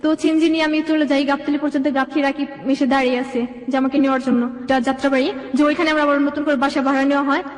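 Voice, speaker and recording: A young woman with a Bangladeshi accent speaks in a high voice at a quick clip. She recounts her life in long, rambling sentences as a guest being interviewed. The room rings with heavy reverb around her. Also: little pitch movement. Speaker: guest telling own life story. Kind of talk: personal storytelling in an interview.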